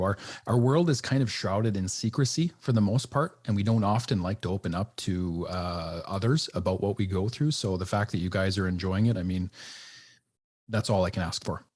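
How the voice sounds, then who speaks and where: A middle-aged man from northern Alberta, a retired police officer, talking calmly and reflectively as a podcast guest. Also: on the low side. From northern Alberta, Canada. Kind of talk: casual podcast chat.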